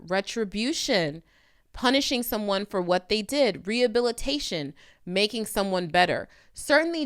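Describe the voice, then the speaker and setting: A woman's voice: medium pitch, fairly bright tone, smooth. A woman giving conversational legal commentary, speaking directly to the viewer in an emphatic, opinionated manner. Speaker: legal commentator hosting a video channel.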